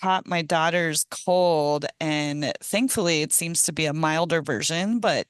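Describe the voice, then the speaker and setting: Hoarse woman giving a talk. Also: sits low, measured pace, no room sound. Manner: casual, chatty, laughs often, many ums. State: hoarse from a cold. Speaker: guest speaker, ecologist. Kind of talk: online meeting presentation.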